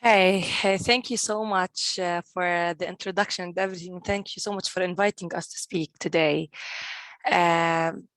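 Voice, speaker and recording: A woman's voice: on the low side, fairly even intonation, normal speed, fairly bright tone, no echo. A young woman in her twenties from East Jerusalem, speaking English with a Palestinian Arabic accent and telling her story earnestly, often restarting and repeating words on a video call. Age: 20s. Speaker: guest, community manager at a peace group. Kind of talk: personal storytelling in a panel talk.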